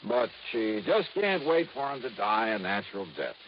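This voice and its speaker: American insurance investigator, wry, skeptical, punchy, animated intonation, noisy old radio drama recording. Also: male voice, fairly low pitch, normal speed, muffled recording. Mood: skeptical, intrigued. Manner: wisecracking, punchy delivery. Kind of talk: 1950s radio detective drama acting.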